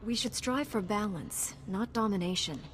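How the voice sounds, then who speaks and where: Woman voicing a calm principled space knight lady in a game, firm and formal, mid pitch, faint noise. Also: normal speed, natural voice. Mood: composed. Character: principled, composed female Jedi knight. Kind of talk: dramatic video game acting.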